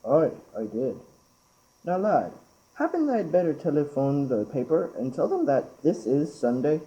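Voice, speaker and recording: A man's voice: a little high, sing-song, unhurried, warm tone. A young teenage boy playing a blunt, menacing strongman in a school radio play. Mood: challenging. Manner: blunt, commanding. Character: gruff, menacing Yorkshire strongman. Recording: muffled amateur recording.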